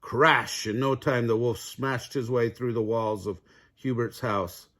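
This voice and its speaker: A man narrating a children's fairy tale aloud in an expressive storytelling style. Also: mid-range voice, unhurried. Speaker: storybook reader.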